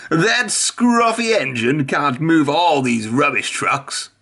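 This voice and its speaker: A reader voicing Spencer, a proud, impatient big male engine, who speaks in a huffy, slow, grumbling way. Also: medium pitch, expressive, a bit bright, slightly rough, exaggerated voice.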